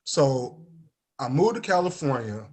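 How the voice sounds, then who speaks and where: A man's voice: mid-range voice, measured pace, breathy edge. A man in his forties from East Saint Louis, a life insurance agent and talk-show guest, telling stories with acted-out dialogue. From East Saint Louis, Illinois, USA. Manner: animated storyteller, acts out quoted dialogue, often adds "you know what I mean". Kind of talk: casual talk-show conversation.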